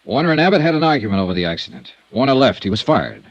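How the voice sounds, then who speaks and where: An actor playing a terse, wry investigator. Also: male voice, medium pitch, sing-song, rapid-fire, no echo, lo-fi sound, lightly acted. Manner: terse, dry, persistent. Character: tough wry male insurance investigator. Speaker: investigator and narrator. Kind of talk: 1950s radio drama acting.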